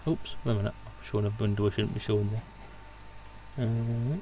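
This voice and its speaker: A man's voice: fairly low pitch, fairly even intonation, brisk, muffled recording, crowd noise. A man hosting a livestream with casual, jokey banter. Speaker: stream host.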